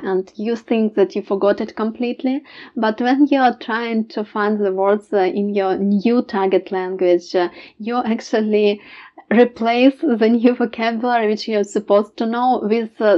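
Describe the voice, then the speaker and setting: A woman language tutor speaks to camera at a middling pitch, hesitant and pausing often with filler sounds between phrases. The recording is dull and slightly lo-fi. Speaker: language tutor and channel host. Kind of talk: casual to-camera tips video.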